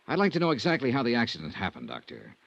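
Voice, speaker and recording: An American actor playing a dogged, cool insurance investigator in 1950s radio detective style, clipped and dry. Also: male voice, medium pitch, wildly swooping pitch, rapid-fire, gravelly, dry recording, exaggerated voice. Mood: businesslike. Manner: clipped, dry, persistent questioning. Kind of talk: 1950s radio detective acting, first-person narration. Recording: dull vintage radio recording.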